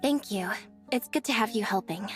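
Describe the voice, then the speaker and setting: A woman playing a reserved, laconic young assistant in a video game, with a deadpan delivery. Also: mid-range voice, normal speed, close to an everyday voice. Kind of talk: video game character acting. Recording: music behind the voice.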